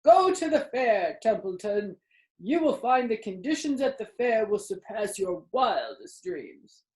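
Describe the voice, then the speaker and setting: A woman's voice: medium pitch, big pitch swings, measured pace, a little mellow, reverberant, voice pushed up, poor audio. A woman voicing a chatty, worldly old female sheep in a storybook reading. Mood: enticing.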